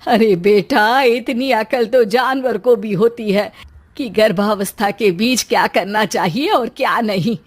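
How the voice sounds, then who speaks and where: A woman's voice: on the high side, unhurried. A woman voicing a concerned, blunt older mother who chides her child in a cartoon moral story. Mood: chiding. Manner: chiding.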